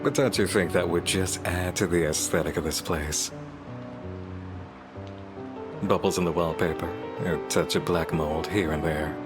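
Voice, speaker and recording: A man's voice: low-pitched, steady tone, moderate pace, over music, natural voice. A man playing a sly, playful demon detective in a visual novel, teasing and theatrical as he condescends to humans. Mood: languid, amused. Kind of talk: scripted visual novel voice acting.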